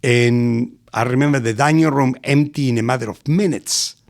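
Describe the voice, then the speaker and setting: A middle-aged Argentinian man, a guest in an interview, spinning anecdotes with lots of animation and frequent laughter. His accent is clear, and his voice sits at an ordinary pitch for a man. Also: moderate pace. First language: Spanish. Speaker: restaurant executive guest. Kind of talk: storytelling interview.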